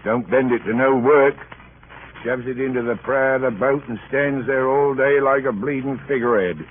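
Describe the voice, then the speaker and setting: An actor playing a suspicious seaman in a radio drama, grumbling in rough, colloquial speech. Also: medium pitch, steady tone, normal speed, a little hiss or hum, natural voice. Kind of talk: old-time radio drama acting. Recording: tinny, band-limited old radio recording.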